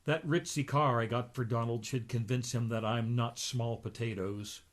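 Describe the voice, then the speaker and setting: A man's voice: medium pitch, steady tone, normal speed, natural voice. A man voicing a smug, scheming, self-satisfied adult gander while reading a comic book aloud.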